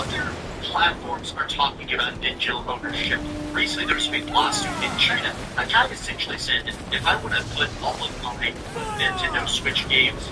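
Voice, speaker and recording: A man's voice: a little high, recorded from a distance, very noisy. A man giving opinionated commentary on video games. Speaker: gaming commentator.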